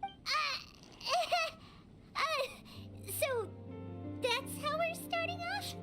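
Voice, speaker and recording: A woman voicing a tiny, chirpy, candid childlike fairy companion in a video game, with squeaky, exclamatory delivery. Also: very slow, over loud music, full character voice. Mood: sheepish. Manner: exclamatory, expressive.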